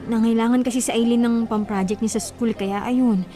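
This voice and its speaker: A woman's voice: on the high side, steady tone, brisk, close to an everyday voice. A woman playing a meek, long-suffering wife in a radio drama, plaintive and placating. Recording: loud music bed behind.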